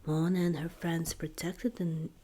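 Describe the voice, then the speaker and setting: A woman reading a children's story in ASMR style, in a soft, breathy whisper with deliberate, expressive delivery. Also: deep voice. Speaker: storybook reader, ASMR creator.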